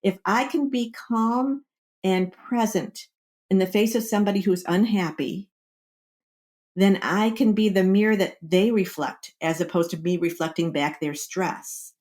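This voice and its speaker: A woman in a podcast interview, speaking at a middling pitch. She explains things like a coach, in a conversational way, and slips into short example quotes to make her points. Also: a little slow, a little mellow. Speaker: wellbeing coach, podcast guest.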